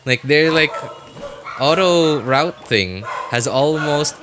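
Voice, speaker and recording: A man's voice: on the high side, expressive, takes it slowly, background noise. A man live-streaming a game with casual commentary, chatting to viewers in a rambling way, often repeating and rephrasing himself.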